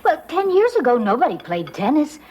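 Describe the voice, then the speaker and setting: A woman's voice: high voice, huge pitch swings, a little fast, darkish tone. An actress playing an airy, scatterbrained wealthy wife who dithers as she talks. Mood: dithering. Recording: old TV sitcom with hiss.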